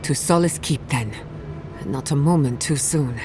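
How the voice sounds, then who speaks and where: An actress playing a blunt, pragmatic adventurer, matter-of-fact and composed, in a video game. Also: female voice, a bass voice, a little slow, noisy background. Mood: grim, composed. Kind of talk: video game character acting.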